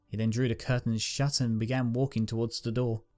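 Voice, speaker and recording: A man narrating a fan-written pony story in a calm, steady reading voice. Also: mid-range voice, a little fast. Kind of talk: fanfic audiobook reading. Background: guitar music.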